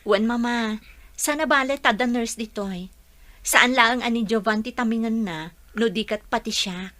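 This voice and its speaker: A woman acting a polite young pregnant wife in an audio drama, with an expressive, questioning delivery. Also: fairly high pitch, moderate pace, close to an everyday voice. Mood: polite, sweet.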